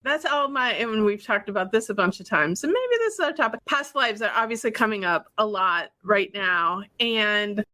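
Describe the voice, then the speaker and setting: A woman from Wisconsin co-hosting a podcast, chatting casually and telling stories in a quick, animated way with acted-out quotes. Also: medium pitch. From Wisconsin, USA. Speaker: podcast co-host.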